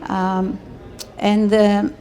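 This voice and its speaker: A woman's voice: mid-range voice, level tone, very slow, dark tone, a little hiss or hum. A middle-aged woman from Israel with an Israeli accent, telling personal stories casually as an interviewee.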